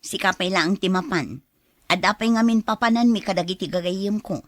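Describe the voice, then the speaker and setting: A woman's voice: medium pitch, normal speed, a bit bright, dry recording, clean studio sound, close to an everyday voice. A woman playing a greedy, persuasive wife who eggs on her husband in a radio drama. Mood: plotting. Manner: insistent, persuasive.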